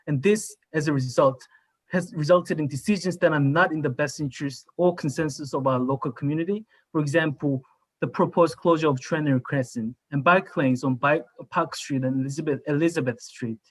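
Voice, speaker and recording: A man whose first language is Korean, a council candidate giving a formal, measured statement at a town hall. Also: fairly high pitch. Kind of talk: prepared campaign statement.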